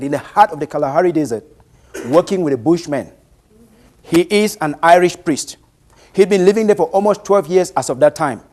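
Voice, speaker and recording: Man, late thirties, Cameroonian accent, Bantu first language, slightly high pitch, motivational public speaker, emphatic, deliberate delivery. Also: a little mellow. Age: late 30s. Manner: deliberate, emphatic, uses rhetorical questions. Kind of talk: motivational presentation to an audience.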